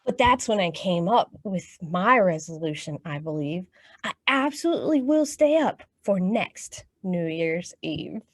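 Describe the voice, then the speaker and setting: A woman's voice: on the low side, lively intonation, unhurried, no room sound. A woman with an American accent hosting a children's storytime, reading aloud and speaking to the children in an encouraging way. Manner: encouraging, addresses children directly with questions and praise. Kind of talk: read-aloud storytime and action songs for young children.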